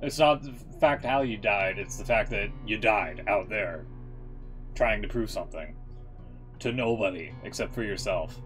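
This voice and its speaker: A man's voice: lowish voice, normal speed, a bit bright. A man giving casual, joking reaction commentary as a streamer, with a wry manner. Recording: music behind the voice. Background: music.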